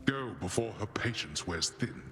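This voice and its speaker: An actor playing a huge, gruff god-king of the underworld in a video game, curt, solemn and dry. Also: fairly low pitch, lively intonation, moderate pace. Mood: stern, dry. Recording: cavernous long reverb, music behind. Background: game music.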